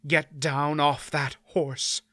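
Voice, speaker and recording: A woman's voice: deep voice, little pitch movement, slow pace, a bit bright, no echo, exaggerated voice. A man voicing a stern, commanding woman who guards her companions, speaking sharply and imperiously. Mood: furious. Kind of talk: audiobook character voice.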